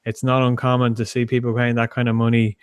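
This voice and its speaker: An Irish man on a chat panel, speaking haltingly with many uhs. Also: medium pitch, completely flat delivery, clean tone, no room sound. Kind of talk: casual panel discussion.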